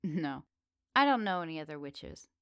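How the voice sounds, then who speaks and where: Flirty cottage witch, acted by a woman, lowish pitch, soft and teasing, unhurried, intimate ASMR roleplay, dry close sound. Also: very animated, smooth, no room sound. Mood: calm, coaxing.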